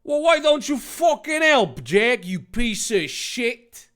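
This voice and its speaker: A man's voice: very high-pitched, lively intonation, takes it slowly, voice pushed up, full character voice. A man voicing a hot-tempered, foul-mouthed party guest who speaks in indignant exclamations. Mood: angry. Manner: yelling, exclamatory.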